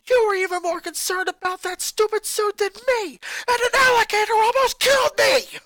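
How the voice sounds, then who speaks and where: A woman voicing an angry, resentful young boy who rants and shouts at his family. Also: very high-pitched, normal speed, dry recording, voice pushed up. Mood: furious. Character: furious boy, eleven-year-old, bitter and resentful.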